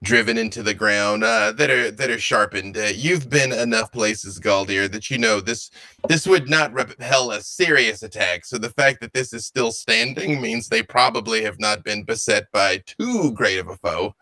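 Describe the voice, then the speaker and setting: Gravelly, bright game master narrating. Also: male voice, lowish voice, normal speed, no echo. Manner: descriptive, hesitant fillers.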